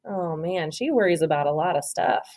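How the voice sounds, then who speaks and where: A woman reading a children's story aloud expressively, pausing to ask simple questions and encourage answers. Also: sits low, expressive, heavy background noise.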